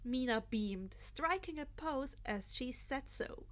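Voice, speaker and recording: A woman's voice: high-pitched, expressive, takes it slowly. A woman narrating a piece of fan fiction as a scripted story reading.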